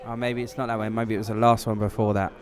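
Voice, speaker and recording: A man's voice: lowish voice, flat delivery, fast, some chatter behind. A man chatting as a podcast guest in casual banter, with a wry, joking way of talking.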